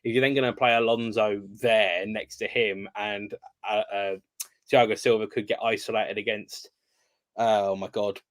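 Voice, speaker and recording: A man's voice: lowish voice, flat delivery, normal speed. A man on a casual football podcast, hesitant and rambling with many ums. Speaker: guest panelist.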